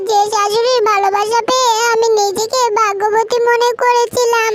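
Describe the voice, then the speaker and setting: A woman voicing a meek, long-suffering young daughter-in-law bird in a cartoon story, with a plaintive manner. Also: very high voice. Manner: pleading, plaintive. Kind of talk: Bengali cartoon story acting.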